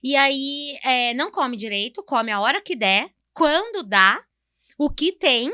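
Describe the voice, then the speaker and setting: High, bright-voiced Brazilian woman, emphatic. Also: animated, measured pace, dry recording, studio quality. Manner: emphatic, explanatory, acts out short dialogues. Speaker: podcast guest, nutritionist. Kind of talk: conversational podcast interview.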